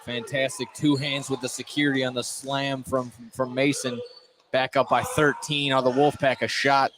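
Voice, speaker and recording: A man doing live play-by-play of a high school basketball game on radio, with casual, loose phrasing and repeated words. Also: level tone, normal speed. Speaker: play-by-play sports announcer. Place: indoor high school gym during a game. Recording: live gym broadcast, clear background noise.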